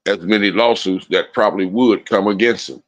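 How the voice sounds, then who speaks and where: A man's voice: on the low side, normal speed, fairly bright tone, dry recording. A man in casual livestream chat, blunt and profane, repeating his lines for emphasis. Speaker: livestream co-host.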